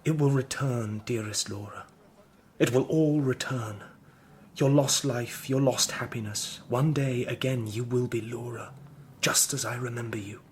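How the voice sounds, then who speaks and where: An earnest young Victorian gentleman with an English accent, played by a male actor. He speaks in a medium-pitched voice with literary, devoted sincerity, as the hero-narrator of an audio drama. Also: fairly even intonation, measured pace, a little hiss or hum, lightly acted. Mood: tender, coaxing.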